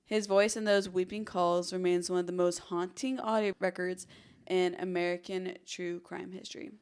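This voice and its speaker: A woman co-hosting a true crime podcast in casual chat, conversational and expressive in how she reacts to the stories. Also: lowish voice, moderate pace, clean tone.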